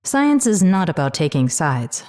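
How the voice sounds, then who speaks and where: A woman's voice: on the low side, very animated, a little slow, darkish tone. A woman playing an open-minded, diplomatic scientist advisor in a sci-fi audio drama, explaining things earnestly.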